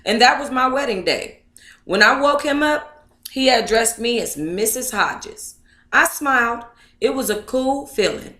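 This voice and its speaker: A woman's voice: a little high, lively intonation, unhurried, sharp tone. An American woman hosting a book club livestream, chatting casually and reading aloud in a sassy, colloquial manner. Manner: sassy, colloquial, addresses listeners as honey and baby. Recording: echoey, roomy sound.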